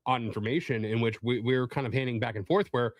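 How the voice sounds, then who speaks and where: Halting man on a panel, dry close sound. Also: mid-range voice, dry recording. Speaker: panelist and entertainment reporter. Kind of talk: casual panel discussion.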